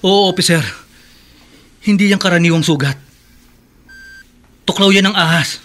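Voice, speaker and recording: An actor playing a distressed, insistent friend of a patient in a Filipino horror radio drama, speaking urgently and pleadingly. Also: male voice, high-pitched, normal speed, fairly bright tone.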